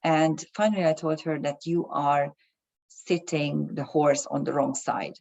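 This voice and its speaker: Woman, low voice, hesitant with fillers, slightly muffled recording. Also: steady tone, a little slow, gravelly, a little mellow. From Germany. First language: Hungarian. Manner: hesitant, many fillers and restarts. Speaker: astrologer. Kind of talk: casual talk to camera.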